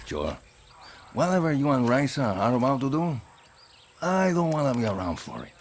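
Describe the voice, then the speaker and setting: An actor playing a nervous turncoat army guard who hands over information in a video game. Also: male voice, medium pitch, sing-song, normal speed, slight background noise, lightly acted. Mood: wary. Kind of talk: video game cutscene acting.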